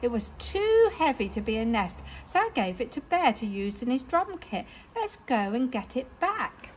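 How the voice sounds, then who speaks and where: A woman's voice: a little high, very animated, normal speed, slight background noise, rough recording. Woman as a chirpy, sheepish bird. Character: sheepish, helpful storybook bird.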